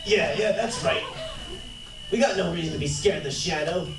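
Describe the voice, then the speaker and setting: A man's voice: on the high side, moderate pace, natural voice. A man playing an eager, plain-spoken beggar with folksy talk in an amateur radio drama. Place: convention panel room. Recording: distant echoey room, loud noise.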